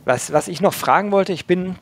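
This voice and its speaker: A man's voice: sits high, moderate pace, dry recording. A man hosting a podcast interview, talking casually with many hesitations. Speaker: podcast host.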